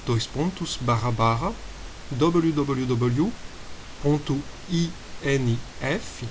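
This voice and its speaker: A male university lecturer with a foreign accent talks through his course in an unhurried, hesitant way, pausing and spelling out details letter by letter. His voice sits at an ordinary middle pitch. Steady hiss runs under the recording. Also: low-quality recording. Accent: foreign accent in Portuguese. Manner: hesitant, measured, spells things out slowly. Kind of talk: recorded lecture podcast, improvised explanation.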